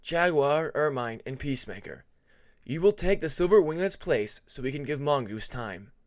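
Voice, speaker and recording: A man as a formal dragon teacher, animated pitch. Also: moderate pace, no echo, studio quality, lightly acted. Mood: decisive. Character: questioning male dragon history teacher, measured authority.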